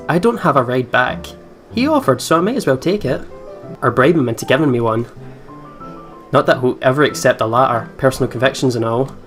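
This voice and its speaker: Male let's play host, mid-range voice, narrating story text with casual asides, light guitar music behind. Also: mid-range voice. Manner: informal, giggly, sweary asides. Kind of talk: reading a visual novel aloud with casual reactions. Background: game's guitar music.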